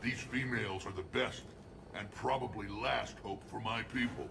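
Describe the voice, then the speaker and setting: An actor playing a gruff, proud, battle-hardened alien warrior leader in a video game, blunt and sardonic. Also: male voice, on the low side, normal speed, noisy background. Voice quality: gravelly. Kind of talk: dramatic video game acting.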